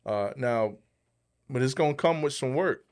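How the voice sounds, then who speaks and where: A man's voice: mid-range voice, animated, normal speed, a little mellow. A man co-hosting a podcast, giving a motivational talk and repeating key phrases for emphasis. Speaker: podcast host, motivational speaker.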